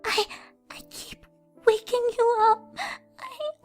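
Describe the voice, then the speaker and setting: A woman's voice: very high-pitched, sing-song, takes it slowly, soft background music, voice pushed up, exaggerated voice. A woman voicing a timid, distraught young female pony who stutters and hiccups through tears in a story reading. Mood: tearful, apologetic. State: crying.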